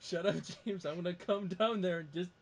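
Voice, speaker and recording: A man's voice: sits high, brisk. A man hosting a podcast with casual banter, introducing and chatting with his panel. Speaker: podcast host. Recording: faint background noise.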